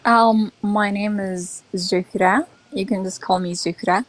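A woman's voice: medium pitch, fairly even intonation, slow, soft background music. A woman from China chatting casually in English on a livestream, easygoing and teasing. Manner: laughs often, easygoing and teasing. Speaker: guest. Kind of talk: casual chat.

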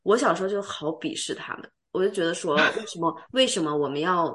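A woman's voice: lowish voice, a little fast. A woman with a youthful, sweet voice, chatting animatedly and casually with friends as a podcast host. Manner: animated, talkative, teasing banter. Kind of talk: casual chat among friends.

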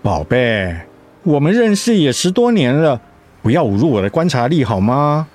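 An actor in a Mandarin radio drama playing a devoted, protective young husband who speaks in a tender, coaxing, sincere way. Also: male voice, medium pitch, sing-song, normal speed, darkish tone, natural voice. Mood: concerned, probing.

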